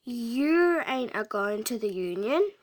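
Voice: mid-range voice, big pitch swings, takes it slowly, pitched above the performer's own voice. A performer voicing a fierce, miserable little orphan girl in a storybook, defiant and sullen, with a working-class London accent. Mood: defiant, wretched. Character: fierce, miserable orphan Cockney street girl, young child.

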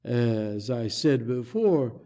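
Woman playing a slow, dark-voiced, muddled curate. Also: rumbling low, animated, voice pushed down, full character voice. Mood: dazed, earnest. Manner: slow, halting, earnest, bewildered delivery. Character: dazed, muddled, conscientious young male curate.

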